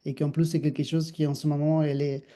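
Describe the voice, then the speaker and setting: A middle-aged man from Argentina with an Argentine accent, speaking thoughtfully as an interview guest, with many restarts and soft laughs. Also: fairly high pitch, level tone, quick pace. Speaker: guest, dancer and actor. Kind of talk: reflective interview conversation.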